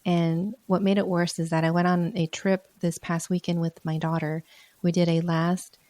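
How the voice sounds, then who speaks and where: A woman hosts her own podcast in an earnest, encouraging manner, her low voice mellow and a little hoarse from a sore throat. The sound is dry and close, with no room echo. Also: level tone, normal speed. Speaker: podcast host. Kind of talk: solo podcast talk.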